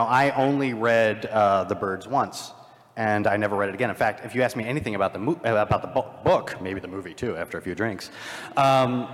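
A man's voice: medium pitch, a bit bright. A man on a live convention panel, talking in a rambling, self-deprecating way with many fillers. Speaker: panelist, filmmaker. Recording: long hall reverb.

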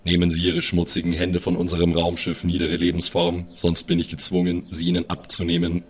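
A man's voice: sits low, monotone, fairly quick, husky. A man voicing a cold, logical killer robot with stilted, formal and pedantic speech in an improvised audio play. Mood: cold threat.

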